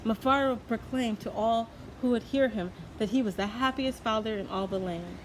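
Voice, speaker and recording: A woman's voice: fairly high pitch, normal speed. A woman narrating a children's story from a book as a storyteller. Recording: clearly noisy background.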